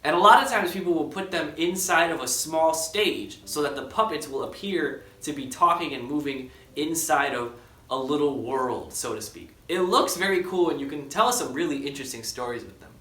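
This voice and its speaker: A man's voice: a little high, lively intonation, normal speed, pushed and bright, breathy edge. A man hosting preschool story time, reading aloud and explaining things simply and expressively to young children. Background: background music.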